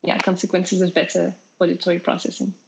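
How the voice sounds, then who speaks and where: A woman's voice: lowish voice, completely flat delivery, quick pace, gravelly, breathy edge, low-quality recording. A woman with a French accent, a company representative answering interview questions in an explanatory way, listing points with fillers. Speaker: product representative.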